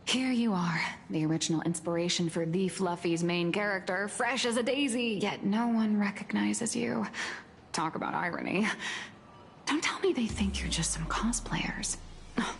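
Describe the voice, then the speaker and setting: A woman's voice: medium pitch, moderate pace, vocal fry, slight background noise, close to an everyday voice. A woman playing a bubbly, self-important female comic artist in a video game, with a dramatic, griping manner. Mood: teasing, friendly. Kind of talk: video game character dialogue. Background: game music.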